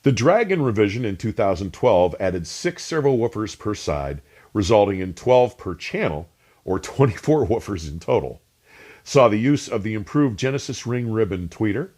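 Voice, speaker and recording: Low-pitched man narrating with sweeping intonation. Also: darkish tone. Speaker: host and narrator of an audio review channel. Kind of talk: scripted narration, documentary-like product history.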